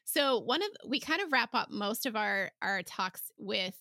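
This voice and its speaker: Female interview host, crisp bright tone, dry studio-like sound, conversational and encouraging. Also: medium pitch, lively intonation, unhurried, no echo. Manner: agreeable, encouraging. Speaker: podcast host.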